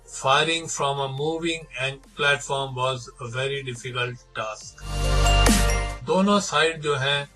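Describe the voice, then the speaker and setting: A man's voice: medium pitch, bright, forceful tone, rough recording. A man with an Indian accent narrating documentary material, mixing scripted reading with conversational explanation. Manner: switches between measured reading and rapid explaining. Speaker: presenter retelling a documentary. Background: background music.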